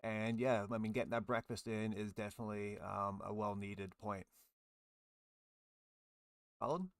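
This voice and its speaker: A man's voice: lowish voice, steady tone, measured pace, no echo, clean studio sound. A man hosting a casual hobby podcast, chatting and putting questions to others. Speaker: podcast host.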